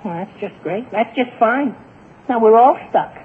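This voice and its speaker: A man's voice: extremely high pitch, animated, moderate pace. Flustered teen boy from a 40s radio comedy, fretful n gushy, super tinny n noisy. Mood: exasperated. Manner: fretful, gushing, exclamatory. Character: excitable, flustered teenage boy, late for school. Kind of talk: 1940s radio comedy acting.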